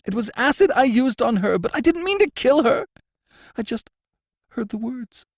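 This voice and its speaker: A man's voice: sing-song, normal speed, no echo, clean studio sound, heavily acted. A man voicing a terrified male prisoner who gasps and wails in panic. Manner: gasping, shrill, panicked wailing. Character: terrified male prisoner, a guilt-haunted murderer.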